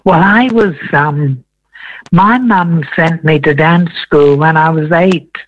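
A man in his eighties from Wales, a veteran entertainer telling his story in a radio interview, slow and meandering with many ums. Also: on the high side, muffled recording, slight background noise. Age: 80s.

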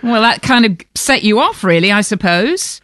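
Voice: mid-range voice, normal speed, no echo, studio quality. A radio host interviewing a guest in a chatty, jokey way. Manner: playful, jokey and animated.

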